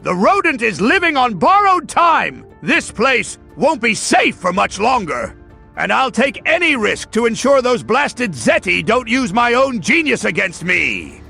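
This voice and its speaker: Gravelly, high-pitched mad genius villain. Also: male voice, very animated, a little slow, faint music behind, exaggerated voice. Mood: menacing. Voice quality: gravelly, forceful. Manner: pompous, theatrical. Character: blustering, megalomaniac mad genius villain, middle-aged man. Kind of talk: comic dub voice acting.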